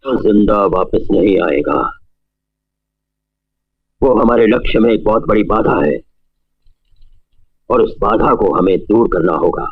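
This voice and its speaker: A man's voice: on the low side, expressive, slightly rough, heavily acted. A man playing a sinister, imperious snake-charmer guru, pronouncing his lines pompously in a melodramatic film spoof. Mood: menacing. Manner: pompous, ominous, drawn-out pronouncements. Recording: dull lo-fi sound with music underneath.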